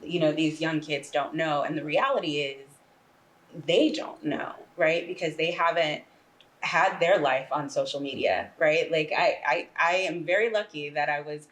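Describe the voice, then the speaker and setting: A woman's voice: low-pitched, moderate pace, a bit bright, rough recording. A woman giving conversational interview answers as a guest playwright, often checking in with the listener. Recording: faint background room noise.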